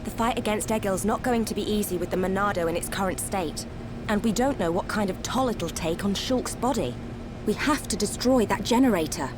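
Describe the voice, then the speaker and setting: A woman's voice: mid-range voice, brisk, natural voice. A woman playing a serious, resolute ally in a video game, with a firm, matter-of-fact manner. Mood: resolute, concerned. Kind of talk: video game cutscene voice acting. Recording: game scene with background ambient noise.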